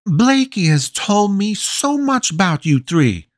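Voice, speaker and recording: A woman's voice: medium pitch, very animated, takes it slowly, dry recording, clean studio sound, voice pushed up. A man voicing a big, bossy, doting mother who talks in a fussy, folksy, insistent way.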